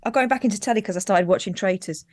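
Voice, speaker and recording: A woman's voice: mid-range voice, a little mellow, no echo. Young woman, chatty, dry close sound. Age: young adult. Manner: chatty, quick to laugh. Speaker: co-host. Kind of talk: casual live-stream chat.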